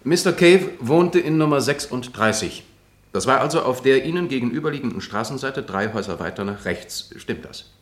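Actor as fast-talking, precise male prosecutor, formal radio courtroom drama, echoing room. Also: mid-range voice, animated, close to an everyday voice. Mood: methodical.

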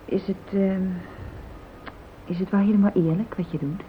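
An actress playing a worried, conscientious wife in a radio play, questioning and gently reproachful. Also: female voice, fairly even intonation, moderate pace, natural voice. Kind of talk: Dutch radio play acting. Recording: muffled phone-like old radio recording, rumbling hiss.

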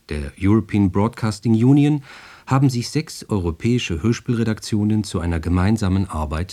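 A native German man with a lowish, mellow voice presents on radio. His scripted announcements are very varied in intonation, delivered in a studio. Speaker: radio host.

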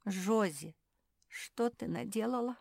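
A woman's voice: lowish voice, measured pace, mellow, no echo, studio quality. A woman voicing an anxious male dog in a children's story, beseeching and insistent as a peacemaker. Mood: despairing.

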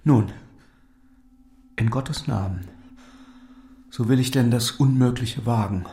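An actor playing an earnest, troubled young nobleman in a radio drama, speaking in a formal, measured way. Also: mid-range voice, dark tone, a little hiss or hum. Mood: resolved, grave. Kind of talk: German literary radio drama acting.

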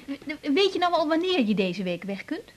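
A woman's voice: high voice, big pitch swings, moderate pace. An actress playing an earnest young woman doctor who stammers and hesitates, in a 1980s radio drama. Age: young adult.

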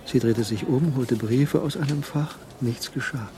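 A man narrating a radio drama in a measured, suspenseful, scripted delivery. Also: mid-range voice, a crowd in the background.

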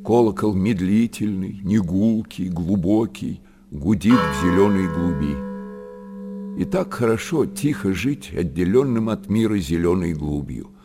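An actor narrating a monastery tale in a radio play, lyrical and measured, with dramatic pauses. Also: male voice, low-pitched, animated, music in the background. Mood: lyrical, reverent.

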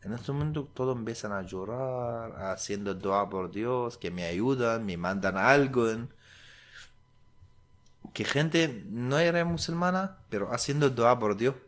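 A young man from Syria whose first language is Arabic talks in slow, broken Spanish with an Arabic accent. His voice sits at an ordinary male pitch. He often stops mid-word and restarts as he searches for the right term. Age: young adult. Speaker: guest. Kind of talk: interview, telling his story.

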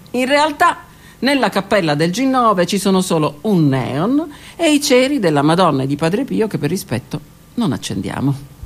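A woman performing an introspective theatrical monologue for radio, delivered dramatically and at times dropping to a whisper. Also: low-pitched. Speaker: stage performer. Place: stage or studio performance.

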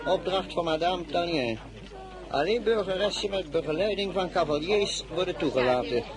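A man's voice: sits high, normal speed, lightly acted. A man playing a haughty, dismissive doorkeeper in a radio drama. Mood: haughty dismissal. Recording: busy crowd behind the voice.

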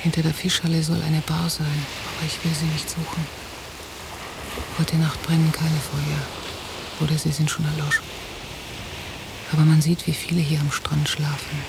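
A very flat, creaky female voice playing a solitary night wanderer. She gives a subdued, observant interior monologue in a radio play, heard through old cassette hiss. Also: low voice, normal speed, pauses often. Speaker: actor.

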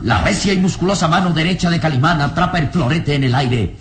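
A man's voice: a little high, animated, a little fast, faint music behind. A man narrating a radio serial in a dramatic, solemn, suspenseful scripted style.

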